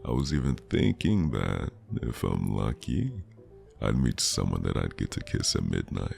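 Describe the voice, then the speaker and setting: A man playing a flirty, charming stranger in an intimate, teasing ASMR roleplay, with a deep, gravelly voice and a lingering delivery. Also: very animated, a little slow, darkish tone, soft background music, full character voice. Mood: playful, coaxing. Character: flirty charming male stranger at New Year's party.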